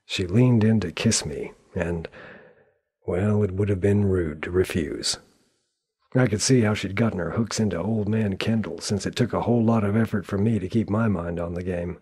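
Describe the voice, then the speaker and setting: An actor playing a wry, world-weary private detective who narrates a noir radio play in a sardonic, deadpan way. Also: male voice, sits low, moderate pace, husky. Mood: wry, brooding. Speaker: narrator and lead character.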